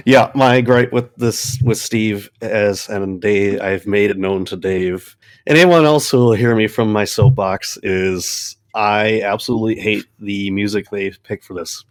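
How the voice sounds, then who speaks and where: A man with a fairly low voice chats as a gaming podcast host. He rants in a theatrical, exasperated manner and swears freely. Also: a little slow. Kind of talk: ranting casual chat.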